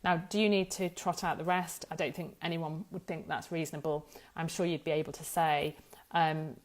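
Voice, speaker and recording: A woman speaks as an expert walking listeners through a practical explainer. Her voice sits a little on the low side. She talks informally and hesitantly, working out her phrasing aloud and correcting herself along the way. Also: steady tone, normal speed. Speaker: data protection law expert presenting an explainer. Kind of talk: informal explanatory talk to camera.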